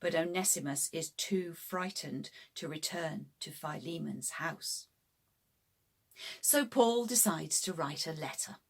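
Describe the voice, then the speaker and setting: Unhurried, husky woman reading bedtime stories. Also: deep voice. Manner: simple, deliberate phrasing for young children. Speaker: storybook narrator. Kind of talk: scripted children's bedtime story narration.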